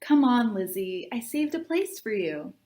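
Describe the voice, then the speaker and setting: A woman voicing an eager middle-school girl in a storybook, calling out loudly and insistently. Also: on the high side, sing-song, unhurried.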